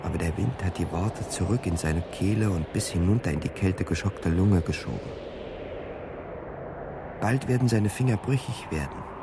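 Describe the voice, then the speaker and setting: A man's voice: sits low, moderate pace. A man reading dramatic literary narration as the narrator of a radio play. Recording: clear ambient noise behind studio narration. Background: ambient noise.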